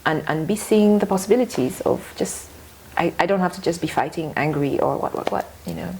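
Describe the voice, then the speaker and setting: A woman's voice: deep voice, expressive, fairly quick, a little mellow, a little hiss or hum, low-quality recording. A woman speaking as a guest in a conversational discussion, thoughtful and earnest. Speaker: podcast guest.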